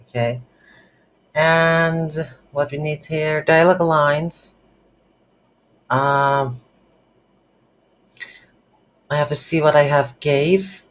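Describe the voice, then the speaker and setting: A coding instructor who is a non-native English speaker, giving an unscripted game development tutorial and thinking aloud haltingly while working. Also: medium pitch, steady tone. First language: not English. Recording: noisy, with keyboard typing. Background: computer keyboard typing.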